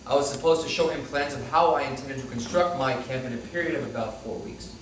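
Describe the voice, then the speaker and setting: Heard from far off in a very echoey, noisy hall, a man acts a former Nazi commandant in his forties. He gives formal, measured testimony in a stage drama, unrepentant and matter-of-fact. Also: medium pitch, natural voice. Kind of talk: staged reading of a play, testimony. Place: hall, recorded from a distance. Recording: very echoey hall, far mic, loud noise.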